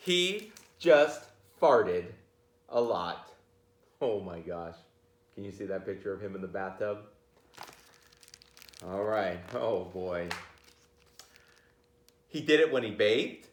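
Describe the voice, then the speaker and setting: A man's voice: fairly low pitch, animated, slow, long pauses, a little hiss or hum. A man reading a children's picture book aloud, playfully talking to his listeners with chatty asides. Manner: playful, addresses listeners directly. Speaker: read-aloud storyteller. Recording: roomy, echoey sound.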